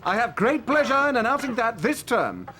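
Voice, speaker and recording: A pompous celebrity wizard. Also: male voice, extremely high pitch, big pitch swings, normal speed, pushed and bright, slight background noise, heavily acted. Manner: pompous, theatrical, self-admiring. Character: vain boastful celebrity wizard professor.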